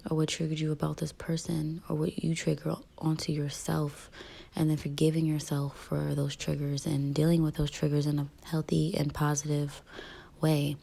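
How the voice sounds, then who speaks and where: A woman giving a casual daily tarot reading in a raspy, soft, half-whispered voice, with many ums, sounding a little rough from allergies. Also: low-pitched, completely flat delivery, normal speed. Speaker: tarot reader.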